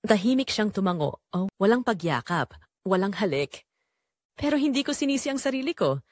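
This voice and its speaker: A wounded middle-aged mother played by a woman confesses her heartbreak as audio drama narration. Her delivery is earnest and deliberate, with a widely moving pitch and a very dry recording. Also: very animated, dry recording. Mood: hurt, reflective.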